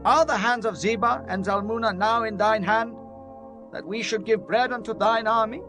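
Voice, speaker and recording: A man's voice: sits high, normal speed, music in the background, lightly acted. An actor playing a proud spokesman for ancient townsmen in a scripture drama, speaking in a declamatory, formal way. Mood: scornful. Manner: declamatory, archaic formal speech.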